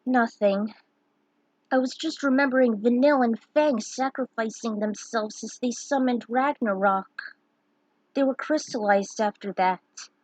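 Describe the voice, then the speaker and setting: A woman's voice: a little high, unhurried, darkish tone, no echo, low-quality recording. Woman playing an earnest young adventurer friend. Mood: pensive.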